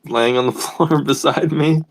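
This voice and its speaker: A man's voice: fairly high pitch, fairly even intonation, measured pace, no echo. A man playing a tabletop roleplay game, describing his character's actions in a casual, hesitant way.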